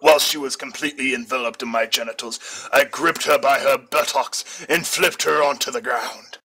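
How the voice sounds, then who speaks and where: A man's voice: medium pitch, steady tone, moderate pace, sharp tone, slightly rough, rough recording. A man reading fan fiction aloud as an amateur, now and then stumbling over words and correcting himself. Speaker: amateur story reader.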